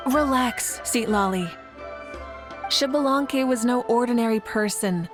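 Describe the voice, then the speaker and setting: A woman playing a calm, confident and reassuring young female leader in a video game. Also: fairly high pitch, measured pace, lightly acted. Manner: reassuring, composed. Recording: game music clearly behind the voice.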